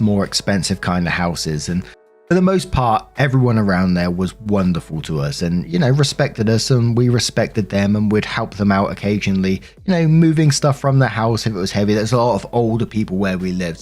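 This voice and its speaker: British man telling stories, lower-pitched everyday voice. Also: lively intonation, a little fast, darkish tone, soft background music. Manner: chatty, rambling, freely swearing. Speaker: narrator and host of a story compilation. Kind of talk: casual storytelling with personal commentary.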